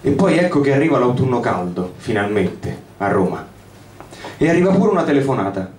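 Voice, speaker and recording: A man reading a first-person literary story in an expressive, dramatic, bitter and brooding way. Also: medium pitch, fairly quick, a little hiss or hum. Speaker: reader of a short story. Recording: roomy live reading, distant mic, guitar behind. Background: acoustic guitar accompaniment.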